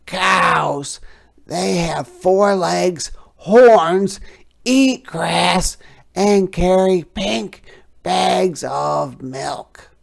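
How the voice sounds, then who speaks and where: Reader putting on a boastful worldly frog for a kids book, raised pitch, big slow emphatic words. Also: gravelly, heavily acted. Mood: mysterious, wondrous. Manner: triumphant, mysterious, drawn-out emphatic delivery. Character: proud boastful young tadpole turned worldly frog. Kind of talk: children's storybook character voice.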